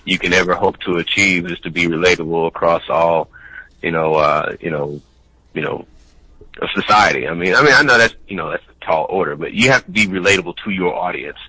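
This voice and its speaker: A man's voice: sits low, a little fast, sharp tone, breathy edge, no room sound, rough recording. A male comedian in casual chat, talking earnestly and leaning on "you know". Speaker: stand-up comedian.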